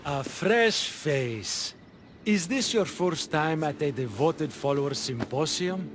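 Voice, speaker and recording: Casting: welcoming cult-party host, video game; genial, raised pitch, music behind. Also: male voice, expressive, slow pace. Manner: genial, sociable. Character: smooth, welcoming male host of a devotees' symposium. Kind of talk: video game cutscene acting.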